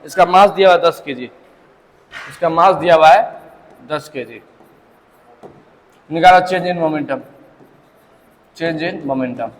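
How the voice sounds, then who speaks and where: A man's voice: fairly high pitch, slow, long pauses. A male physics teacher giving a classroom lecture in Hindi mixed with English, often putting questions to the class. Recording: long echo in a large hall.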